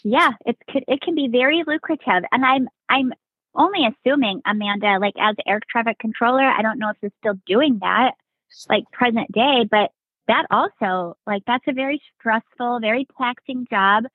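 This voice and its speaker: A woman's voice: fairly high pitch, normal speed, slightly rough, no echo. Woman on a tinny, dry phone line. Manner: rambling, fills with "like" and "right?". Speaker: podcast guest, Navy veteran. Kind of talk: casual storytelling chat.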